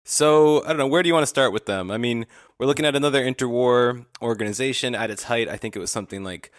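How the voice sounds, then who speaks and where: A man's voice: mid-range voice, fast talker, dry recording, studio quality. A man co-hosting a podcast, chatting informally about history with some swearing. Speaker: podcast co-host. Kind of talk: casual history discussion.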